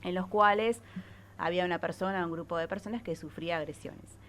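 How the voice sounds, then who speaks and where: A woman's voice: on the low side, a little fast, fairly bright tone. Argentine woman explaining, faint noise behind. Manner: frequent check-in tag questions. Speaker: psychologist columnist on radio. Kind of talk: explanatory radio talk.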